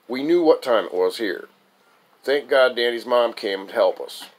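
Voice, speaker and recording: A man with a fairly low voice recites a piece of his own autobiographical prose. He reads as the author, in a scripted storytelling style, now and then tripping over a word and picking it back up. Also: poor audio. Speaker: author reading own writing aloud. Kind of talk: scripted first-person narrative reading, recitation.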